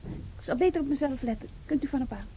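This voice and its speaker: High, soft-toned timid young nurse. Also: female voice, fast talker, creaky, slight background noise. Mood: evasive, submissive. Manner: hesitant, submissive. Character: timid, eager young female nurse, easily flustered. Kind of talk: radio play acting. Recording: dull, muffled radio play recording.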